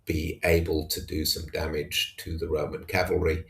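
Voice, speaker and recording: A man giving an unscripted recap of a tabletop wargame in a hesitant, halting way. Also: extremely low pitch, level tone, vocal fry. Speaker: tabletop wargamer narrating own battle report. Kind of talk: unscripted match recap commentary.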